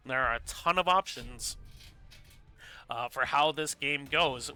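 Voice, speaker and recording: A male caster calls a live esports match in fast play-by-play, his voice sitting a touch high and sounding very bright and keen. He is congested, with a stuffy nose, and his energy climbs into excited bursts when the action heats up. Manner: energetic, rises to excited bursts during fights.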